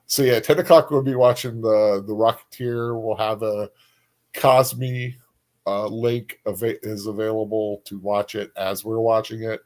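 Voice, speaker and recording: Halting, casual male livestream co-host. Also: fairly low pitch, steady tone, a little mellow. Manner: halting, repetitive, trailing sentences. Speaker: co-host of a book discussion stream.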